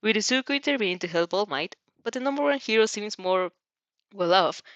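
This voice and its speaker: A woman's voice: mid-range voice, animated, brisk, no room sound, lo-fi sound. Woman reading fan fiction aloud, dry sound. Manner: giggly, stumbles over phrases and rereads them. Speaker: fan fiction reader reacting as she reads. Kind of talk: expressive storytelling read-aloud with amused reactions.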